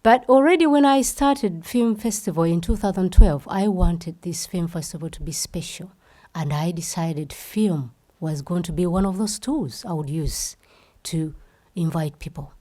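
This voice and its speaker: A woman's voice: fairly low pitch, moderate pace, warm tone. A woman from Uganda, the founder of a festival, giving an impassioned speech and repeating phrases for emphasis.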